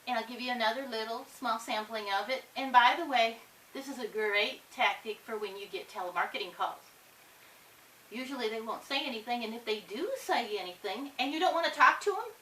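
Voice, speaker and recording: A woman's voice: a little high, pushed and bright, reverberant. A woman chatting casually in a home video, telling a rambling story, recorded from some distance in a room with light hiss. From Iowa. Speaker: vlogger.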